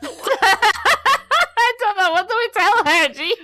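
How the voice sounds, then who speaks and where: Bright-voiced woman, halting and giggly. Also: extremely high pitch, sing-song, soft background music. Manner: halting, thinking aloud, giggly. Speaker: player in a tabletop RPG podcast. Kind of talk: casual improvised tabletop game chat.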